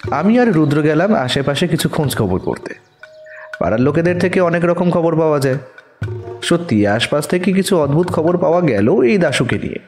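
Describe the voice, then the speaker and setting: A man narrating a scripted detective story in the first person, expressive and suspenseful. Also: fairly high pitch, normal speed, soft background music.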